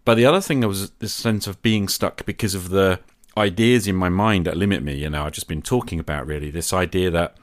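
A man hosting a podcast, speaking reflectively in deliberate short phrases and posing rhetorical questions. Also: sits low. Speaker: podcast host. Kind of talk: reflective solo podcast monologue.

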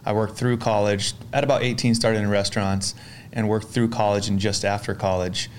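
A man's voice: fairly low pitch, steady tone, moderate pace, a little hiss or hum. A man hosting a podcast, asking friendly, rambling questions with an upbeat, casual manner. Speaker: podcast host. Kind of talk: casual interview conversation.

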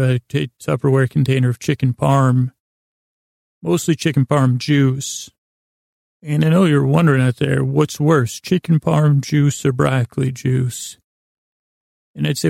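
Guy doing a sleepy-time podcast ramble, monotone, really dry close sound, keeps trailing off and restarting. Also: medium pitch, creaky, dry recording. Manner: meandering, halting, repeats and trails off mid-thought; lulling, deliberately boring. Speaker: podcast host. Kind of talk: rambling bedtime monologue.

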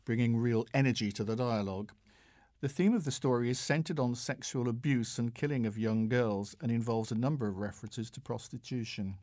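A British man reading a scripted audiobook review in a measured, formal manner. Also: mid-range voice, a little mellow, no echo, clean studio sound. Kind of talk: scripted review read aloud.

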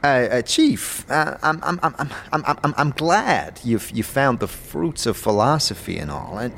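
A man plays a jittery undead companion in a fantasy audio drama. His pitch swoops wildly as he stammers and cracks sarcastic jokes. There is faint noise behind him. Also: very animated, normal speed, creaky, a bit bright. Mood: nervous. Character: nervous, wisecracking talking undead companion, male. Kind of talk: audio drama character acting.

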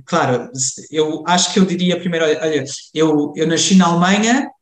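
Young man, guest chatting casually, halting and full of fillers, echoey room. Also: on the high side. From Germany. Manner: hesitant, many fillers and restarts. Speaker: interview guest. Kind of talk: casual conversation. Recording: roomy echo.

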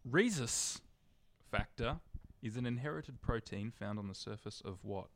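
A man hosting a comedy quiz, reading questions slowly and laughing often between jokes. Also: medium pitch, animated. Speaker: quiz host.

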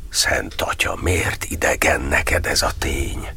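Embattled cardinal, solemn declamatory verse. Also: male voice, a bass voice, steady tone, in a whisper. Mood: bitter, anguished. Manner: declamatory, measured verse delivery. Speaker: actor. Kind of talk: dramatic verse monodrama monologue.

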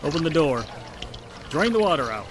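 An actor playing a considerate, businesslike police inspector in a 1940s-style radio drama. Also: male voice, a little high, big pitch swings, normal speed, heavy background noise. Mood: brisk, commanding.

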